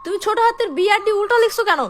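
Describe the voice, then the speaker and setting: A woman's voice: very high-pitched, racing, noisy background. A woman playing a strict, bossy female primary school teacher in a cartoon, with music under her voice. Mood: stern scolding. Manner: bossy, instructing children. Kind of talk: cartoon dialogue.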